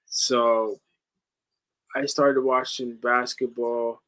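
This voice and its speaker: Bright-voiced young man in slangy sports chat. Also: medium pitch, flat delivery, drawn-out words, rough recording. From Netherlands, moved to the US at ten. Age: late 20s. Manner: slangy, swearing, says "bro" often. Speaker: sports watch-along streamer.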